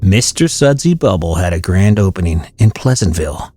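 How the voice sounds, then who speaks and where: A man acting as a bitter, haunted convict, narrating a horror audio drama in measured, brooding tones over music. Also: sits low, expressive. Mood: haunted, ominous. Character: bitter, haunted male arsonist convict recounting a horror tale. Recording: music bed under the voice.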